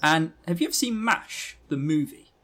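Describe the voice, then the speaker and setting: A man's voice: a little high, expressive, moderate pace, a bit bright. A man co-hosting a podcast, giving a casual review in a rambling, informal and blunt way. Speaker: podcast co-host.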